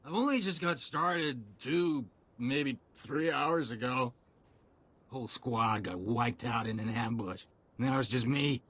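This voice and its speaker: An actor playing a tipsy, fatalistic soldier who rambles, in a video game. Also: male voice, medium pitch, takes it slowly, fairly bright tone, breathy edge. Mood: boozy, resigned. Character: boozy, fatalistic soldier, last of his squad. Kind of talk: video game dialogue acting.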